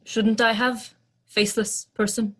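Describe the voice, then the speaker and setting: A woman's voice: fairly high pitch, little pitch movement, measured pace, breathy edge. A woman playing an earnest, literal-minded non-human crew member in a sci-fi audio drama, speaking formally and precisely.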